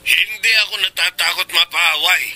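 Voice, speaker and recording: A man's voice: sits high, moderate pace, heavy background noise, lightly acted. An actor playing a protective, indignant middle-aged provincial father in a Filipino radio drama. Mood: indignant, firm.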